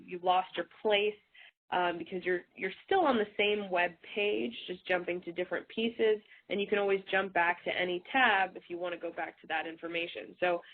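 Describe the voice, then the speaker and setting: A woman walks an audience through a software webinar, her voice a little stuffy from a cold. She talks in a relaxed way and fills gaps with small fillers. The sound is thin and phone-like, as over a web call. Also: medium pitch. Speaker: presenter. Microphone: web call audio. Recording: tinny phone-like audio.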